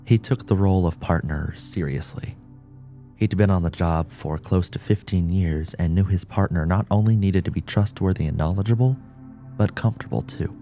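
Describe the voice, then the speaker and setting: A man's voice: low voice, normal speed, warm tone, faint music behind. A man reading a scripted story as an audiobook narrator.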